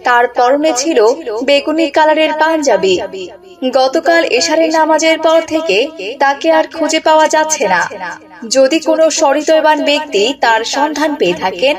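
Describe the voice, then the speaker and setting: A woman's voice: sits high, moderate pace, crowd noise, lightly acted. A woman acting as a public announcer, formally proclaiming a notice in a cartoon. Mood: solemn, urgent. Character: person making a missing-child loudspeaker announcement.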